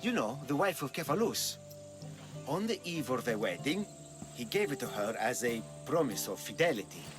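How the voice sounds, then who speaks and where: Eager, scheming market trader played by a man, Greek accent, lively swooping delivery, game cutscene over music. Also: medium pitch, normal speed, lightly acted. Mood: storytelling. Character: eager, scheming male vegetable merchant desperate to leave. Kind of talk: video game cutscene acting.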